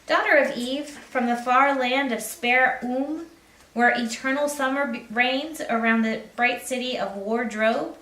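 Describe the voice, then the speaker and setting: A polite, old-fashioned faun played by a woman reading a storybook, gently melancholy and eager to host. The voice sits fairly high and is heard a little far from the mic in an echoing room. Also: unhurried. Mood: inviting. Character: courteous, hospitable, slightly melancholy male faun. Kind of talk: children's storybook read aloud. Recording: roomy echo, distant mic.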